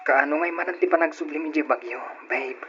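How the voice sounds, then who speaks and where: An actor playing a playful young boyfriend in a radio drama. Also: male voice, a little high, fairly even intonation, a little fast, bright, forceful tone, soft background music. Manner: teasing, playful. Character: young man, affectionate boyfriend. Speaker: boyfriend character. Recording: dull lo-fi radio recording with faint hiss.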